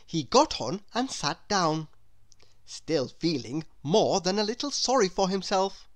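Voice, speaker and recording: Woman reading a kids story, lowish voice, super expressive and up and down. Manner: expressive, deliberate. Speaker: storybook reader. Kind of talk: scripted children's story narration.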